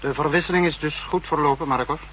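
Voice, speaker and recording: A man's voice: a little high, quick pace, a bit bright, natural voice. Man acting a calculating, authoritative spymaster. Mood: cool, businesslike. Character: calculating, authoritative male spymaster, head of secret service. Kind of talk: 1960s Dutch radio drama acting. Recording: faintly noisy 1960s radio recording.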